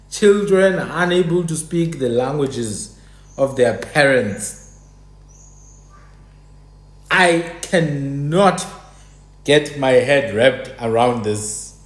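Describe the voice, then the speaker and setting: A man's voice: medium pitch, echoey room. A South African man, English not his first language, speaking in a passionate, exasperated monologue as host. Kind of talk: casual monologue.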